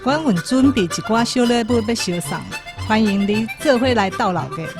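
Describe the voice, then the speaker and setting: A woman's voice: fairly high pitch, expressive, moderate pace. A woman with a Taiwanese accent, a voice actress, reading a scripted announcement to radio listeners. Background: music.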